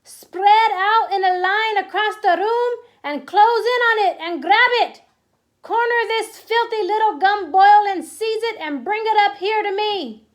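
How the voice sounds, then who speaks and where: A woman's voice: very high voice, a little slow, pitched above the performer's own voice. Shrieking cruel witch queen, as a woman puts her on. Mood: shrill, commanding. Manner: screeching commands. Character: shrieking, cruel old female witch leader.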